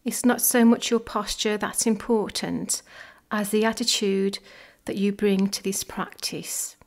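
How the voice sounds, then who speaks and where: A woman leading a guided body scan meditation in a soft, gentle, measured way. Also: medium pitch, steady tone. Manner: gentle, measured, unhurried phrasing with pauses.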